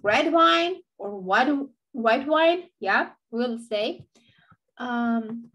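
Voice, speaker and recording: A woman's voice: fairly high pitch, animated, extremely slow, a bit bright, lo-fi sound, slight background noise. A young woman with a Romanian accent, a native speaker teaching an online language lesson into her laptop webcam, patiently repeating words for learners.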